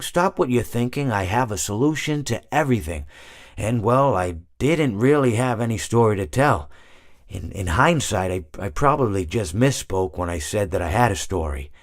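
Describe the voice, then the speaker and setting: A man's voice: fairly low pitch, no room sound, clean studio sound, close to an everyday voice. A man voicing an elderly, mild-mannered hippopotamus animatronic for a video game, rambling absent-mindedly, hesitating and correcting himself. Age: older. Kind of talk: character monologue for a video game.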